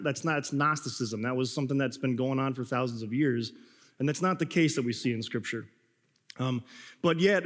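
A man preaching a sermon, hoarse and a bit raspy from a cold, talking in a loose conversational way with restarts. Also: medium pitch, big-room sound. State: hoarse, coughing. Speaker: pastor.

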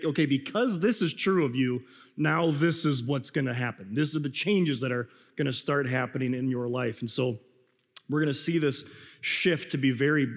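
Guy preaching with a raspy sore throat, chatty and rambling, long echo in the room. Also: medium pitch. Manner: conversational, rambling with restarts. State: hoarse, coughing. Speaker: pastor. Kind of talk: sermon.

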